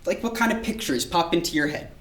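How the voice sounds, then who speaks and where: A low-pitched, patient female child psychiatrist acted by a woman in a staged drama. She speaks in a measured, reassuring, professional way. The sound is distant, with strong room echo. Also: animated, natural voice. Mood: gently probing. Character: calm, patient child psychiatrist questioning a young patient. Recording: distant, echoey room recording.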